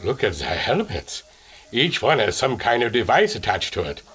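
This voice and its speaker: A sturdy, precise engineer , played by a man. His voice is low with lively inflection. He chooses formal words. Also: moderate pace, husky, full character voice. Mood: analytical. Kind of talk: audio drama acting.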